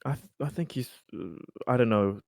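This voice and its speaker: A man chatting casually as a tabletop game player, haltingly and often repeating words as he interjects. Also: mid-range voice, vocal fry, darkish tone, dry recording, clean studio sound.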